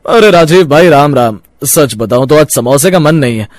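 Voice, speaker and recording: A man's voice: fairly high pitch, expressive, moderate pace, no echo, studio quality, lightly acted. A man voicing a frank, chatty regular customer at a street food stall in a cartoon moral story. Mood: frank, enthusiastic. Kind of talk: acted dialogue in a Hindi moral cartoon story.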